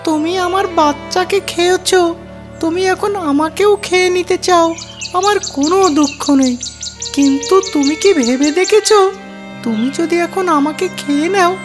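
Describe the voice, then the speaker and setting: A performer voicing a clever little mother bird in a children's cartoon, polite, wheedling and persuasive, over loud music. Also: very high-pitched, pitched above the performer's own voice. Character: clever little mother tailorbird, sweetly coaxing. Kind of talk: children's cartoon story character acting.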